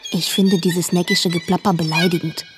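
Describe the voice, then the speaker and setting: An actress playing a bookish, judgmental young girl, narrating a radio play in the first person in a measured, matter-of-fact way. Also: fairly low pitch, little pitch movement, music in the background, lightly acted. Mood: earnest, disapproving.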